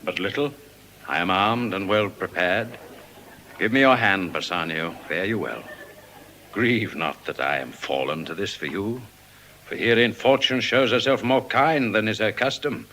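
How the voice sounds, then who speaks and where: Deep-voiced, stoic doomed merchant. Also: male voice, pushed and bright, slight background noise. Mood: resigned, stoic. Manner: stoic, dignified, measured. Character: noble, resigned merchant facing death. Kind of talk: classical Shakespearean stage acting.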